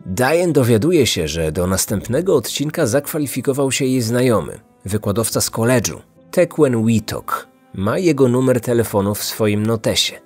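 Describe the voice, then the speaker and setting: A man's voice: medium pitch, lively intonation, moderate pace, hardly any pauses, darkish tone, slightly rough, soft background music. A man in his thirties reading scripted true-crime narration as a podcast host.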